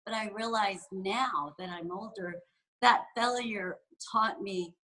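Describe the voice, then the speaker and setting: A woman's voice: medium pitch, slow, bright, forceful tone, rough recording. A woman in her forties from San Francisco, talking candidly and reflectively as an interview guest, with frequent fillers. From San Francisco, USA. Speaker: guest executive and entrepreneur. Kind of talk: conversational interview.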